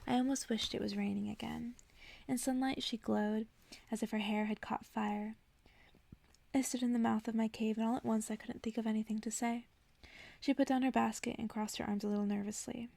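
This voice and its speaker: Hushed, very creaky woman reading a bedtime story. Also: on the high side, steady tone, brisk, dry recording. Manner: soft-spoken, hushed, drifting into whispers. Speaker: ASMR reader.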